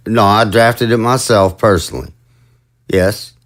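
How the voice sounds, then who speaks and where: A man's voice: on the low side, measured pace, natural voice. A man voicing a flustered undead male president who stammers and startles easily in an audio drama. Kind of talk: amateur audio drama acting.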